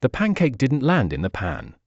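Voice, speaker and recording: A man's voice: medium pitch, huge pitch swings, normal speed, darkish tone, dry recording, highs cut off. A man narrating a scripted children's story as a read-aloud.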